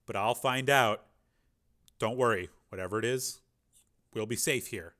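A man voicing an older crime boss in an audiobook, talking softly and calmly to reassure a scared girl. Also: lowish voice, measured pace. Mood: reassuring. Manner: soft, calm and reassuring. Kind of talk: audiobook character reading.

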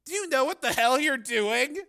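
An exasperated male news cameraman in an audiobook, acted out by a man, pitched very high and forceful. He is nervy and sarcastic, protesting with sharp agitation. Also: animated, moderate pace, creaky, heavily acted. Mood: alarmed. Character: nervous, exasperated, sardonic young-sounding male TV news cameraman.

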